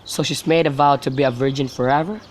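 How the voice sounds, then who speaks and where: A man's voice: medium pitch, steady tone, fast, lightly acted. A young man playing a concerned, sensible cousin in an amateur radio drama of modern-English Shakespeare, with birdsong behind him. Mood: advising. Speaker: student actor. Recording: faint background noise with outdoor birdsong.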